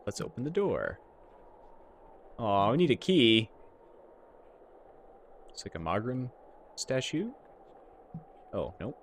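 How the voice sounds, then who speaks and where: A man's voice: medium pitch, sing-song, slow pace, long pauses, a little hiss or hum. A man doing a let's play, reading fantasy game story text aloud and adding casual commentary. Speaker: let's player.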